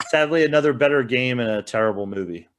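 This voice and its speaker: A man's voice: medium pitch, fast. A man co-hosting a podcast, chatting casually about films and games. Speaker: podcast co-host. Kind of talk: casual movie and game review chat.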